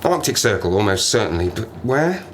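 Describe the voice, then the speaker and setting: Man acting a wry, rambling stranded survivor, animated. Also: on the low side, fairly quick, a little hiss or hum. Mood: wistful, rambling. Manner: rambling, self-mocking, sardonic, talks to himself. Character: stranded plane-crash survivor, wry, lonely, rambling, half-mad. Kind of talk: audio drama monologue, diary-like.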